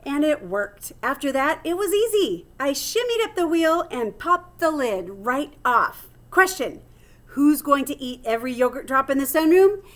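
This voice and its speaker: A woman's voice: high-pitched, sing-song, unhurried. A woman voicing a small, plucky male hamster who reads his diary aloud theatrically and earnestly, as in a children's storybook. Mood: excited, scheming. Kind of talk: storybook read-aloud in first person.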